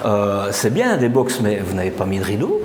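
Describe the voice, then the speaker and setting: A man's voice: lowish voice, wildly swooping pitch, normal speed, roomy sound, noisy background. A man, a vlogger asking curious, joking questions while touring a new office building. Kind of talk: casual on-camera interview. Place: new office building, indoors, walking tour.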